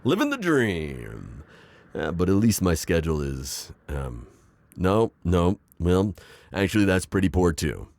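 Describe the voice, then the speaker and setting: A man voicing a gentle, self-deprecating divorced construction-worker dad in an ASMR roleplay, hesitant and stammering with soft jokes. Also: deep voice, wildly swooping pitch, unhurried, creaky, no room sound, full character voice. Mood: wry. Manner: hesitant, full of ums and stammers, joking softly. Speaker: voice actor.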